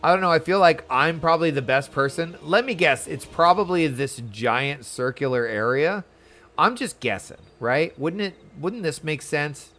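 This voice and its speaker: A man's voice: on the high side, animated, normal speed, fairly bright tone. A man chatting casually and animatedly as he streams a video game, rambling from thought to thought. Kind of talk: casual livestream chat over gameplay.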